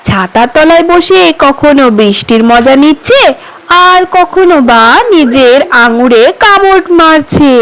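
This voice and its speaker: Woman narrator, high voice, lively swooping intonation, emphatic, children's fable storytelling. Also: poor audio.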